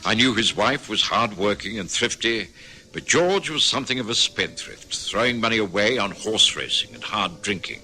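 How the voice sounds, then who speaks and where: Calm British doctor-narrator, measured, animated pitch, radio play. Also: male voice, husky, exaggerated voice, low-quality recording. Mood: reflective. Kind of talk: 1950s radio drama acting.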